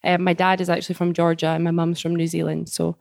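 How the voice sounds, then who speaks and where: A woman's voice: fairly low pitch, level tone, fast, vocal fry, a little mellow, no room sound, clean studio sound. A Scottish woman in her late twenties, chatting as a guest in an office interview, friendly, outgoing and self-deprecating. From Scotland. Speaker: guest engineer. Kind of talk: casual interview chat.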